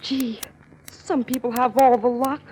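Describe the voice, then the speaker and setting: An actress in a 1950s radio drama playing a naive, romantic young American tourist, petulant and dreamy. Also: female voice, fairly high pitch, little pitch movement, measured pace, close to an everyday voice. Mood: starry-eyed. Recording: noisy vintage radio with clicks.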